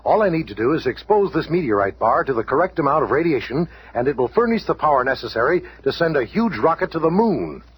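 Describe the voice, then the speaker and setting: An actor playing an earnest, authoritative scientist who leads superheroes, explaining formally in a 1970s radio drama. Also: male voice, a little high, very animated, quick pace, slightly rough, full character voice. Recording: old radio recording, faint noise.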